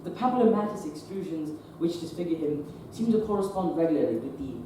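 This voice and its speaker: A man's voice: a little high, lively intonation, fast, dark tone, a little hiss or hum, close to an everyday voice. A teen boy playing a flustered Victorian doctor. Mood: embarrassed. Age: teens. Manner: stammering, fussy, awkward. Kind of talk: teen stage play performance. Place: theatre stage. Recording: echoey stage from far off.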